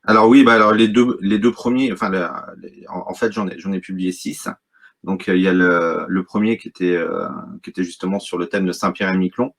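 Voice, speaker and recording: Low-voiced hesitant French man. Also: steady tone, fairly quick. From Brittany, France. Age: middle-aged. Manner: hesitant, many fillers and restarts. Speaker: wildlife photographer, guest. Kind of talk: casual interview talk.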